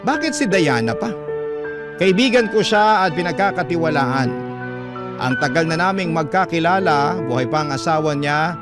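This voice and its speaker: A man's voice: a little high, animated, moderate pace. A man narrating a listener's letter in the first person, in an emotive classic radio style. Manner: emotive, addresses the letter to the host. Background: loud guitar music.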